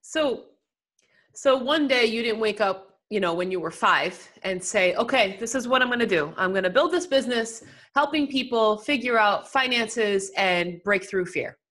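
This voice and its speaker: A woman's voice: a little high, animated, normal speed, fairly bright tone. A woman hosting a podcast, chatty and encouraging as she introduces and questions her guest. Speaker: podcast host. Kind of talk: casual interview talk.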